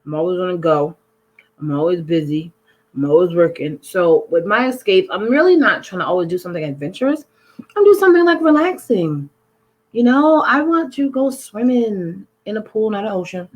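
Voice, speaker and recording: A woman's voice: expressive, normal speed, muffled recording. A woman chatting casually, playful and emphatic, with big swings in her voice. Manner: emphatic and playful, swinging up high on quoted lines. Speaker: guest in conversation. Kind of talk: casual chat.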